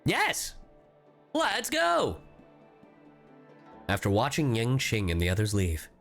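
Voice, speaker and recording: A man's voice: medium pitch, takes it slowly, gravelly. A male streamer giving playful, casual commentary on a game and reading its story text aloud. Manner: animated, playful. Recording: music behind the voice.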